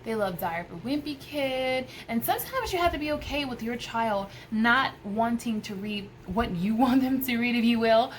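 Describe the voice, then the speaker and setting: A woman's voice: a little high, expressive, normal speed, few pauses. Emphatic woman vlogger, noisy recording. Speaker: homeschool vlogger. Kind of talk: casual advice talk to camera. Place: small room at home by a window. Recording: clearly noisy room recording.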